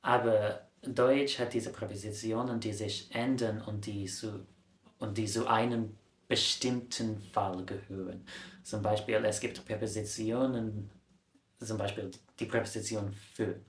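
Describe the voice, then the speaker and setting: Casting note: a low-voiced man, a casual vlog-style explainer, recorded in an echoey room. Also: steady tone, a little slow, slight background noise. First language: English. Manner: chatty, corrects his own slips with a laugh. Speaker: language vlogger. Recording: roomy echo.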